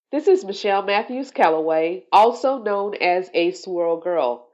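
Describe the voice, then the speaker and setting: A woman hosting a solo podcast, sounding congested and nasal from allergies, talking in a slow, reflective way. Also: mid-range voice. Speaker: podcast host.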